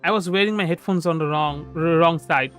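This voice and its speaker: A man's voice: a little high, brisk, a bit bright, faint music behind. A man from India giving casual, off-the-cuff commentary while streaming a video game. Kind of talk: casual live gameplay commentary.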